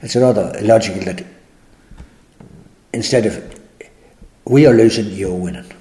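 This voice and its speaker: Deep-voiced older Irish man, halting. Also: mellow. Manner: halting, many restarts and trailing phrases. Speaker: interviewee. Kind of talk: reflective interview answers. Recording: echoey room.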